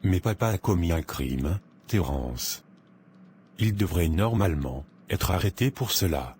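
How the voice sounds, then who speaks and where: Man acting a very deep-voiced, troubled son. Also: expressive, a little slow, darkish tone, slight background noise. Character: shocked, law-minded adult son of a criminal. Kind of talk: dubbed cartoon dialogue. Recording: music under the voice.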